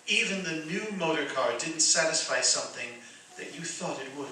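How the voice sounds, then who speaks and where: A man acting a calm, moralizing mysterious visitor in a radio play, earnest and gently reproving. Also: a little high, moderate pace. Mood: gently probing. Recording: distant, echoey, noisy stage recording.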